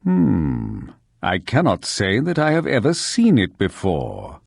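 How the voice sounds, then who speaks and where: Expressive, formal fantasy advisor. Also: male voice, lowish voice, takes it slowly, creaky, no room sound, clean studio sound, full character voice. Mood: courteous. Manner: formal, courteous. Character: helpful, measured male fantasy town advisor. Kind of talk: video game voice acting.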